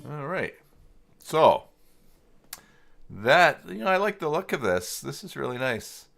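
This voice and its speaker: A man hosting a casual live software tutorial, thinking aloud in an informal, unscripted way as he works through the steps. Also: a little high, sing-song, slow, creaky, over music. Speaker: livestream host and software tutor.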